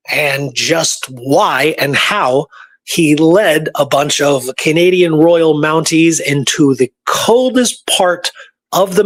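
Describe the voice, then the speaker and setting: Guy co-hosting a podcast, voice a bit on the high side, chatty and emphatic like he loves telling a story. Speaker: podcast co-host. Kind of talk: casual podcast chat.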